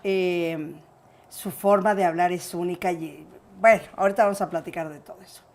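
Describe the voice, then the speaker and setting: A woman's voice: fairly low pitch, fairly even intonation, moderate pace, a little mellow. Effusive Mexican woman hosting an interview. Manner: effusive, affectionate. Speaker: interview show host. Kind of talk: warm, affectionate personal interview.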